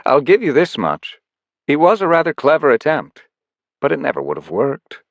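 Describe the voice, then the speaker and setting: A man voicing a cold, grandiose villain king who speaks slowly and condescendingly. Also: on the high side, wildly swooping pitch, dry recording, lo-fi sound, pitched above the performer's own voice. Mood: mocking. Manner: measured, slow, condescending.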